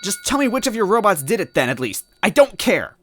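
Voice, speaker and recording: A man's voice: high-pitched, sing-song, brisk, pitched above the performer's own voice. A man voicing a distraught, defiant little mushroom girl in a story reading, pleading and argumentative. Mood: angry, defiant.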